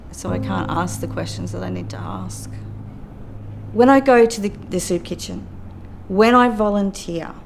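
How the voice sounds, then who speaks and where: A middle-aged Australian woman telling her own story in an earnest, reflective, steady way. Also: lowish voice, gravelly, slight background noise. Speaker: youth worker and law graduate. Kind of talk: personal testimony for a documentary. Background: soft music.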